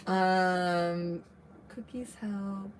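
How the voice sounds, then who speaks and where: A woman's voice: lowish voice, fairly even intonation, very slow, a little hiss or hum. A woman with a nasal voice hosting a casual live chat, reacting to her guest and reading viewer comments aloud.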